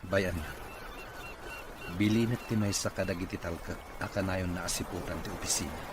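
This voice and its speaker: Guy doing a tired sick company boss in a radio drama, real low voice, noisy audio. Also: moderate pace, natural voice. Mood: troubled. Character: ailing male company boss, weary yet hopeful. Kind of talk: Ilocano radio drama acting.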